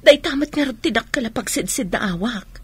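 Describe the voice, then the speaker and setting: Gossipy friend, bit high and raspy, radio drama acting. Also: female voice, expressive, brisk, a bit bright, no echo, heavily acted. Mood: gossipy, probing. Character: adult gossipy woman friend, probing and tattling.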